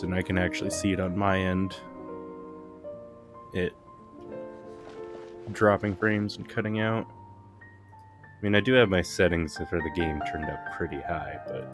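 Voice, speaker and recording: A very deep-voiced man chatting casually on a game stream. He comments loosely as he plays, with music clearly audible behind him.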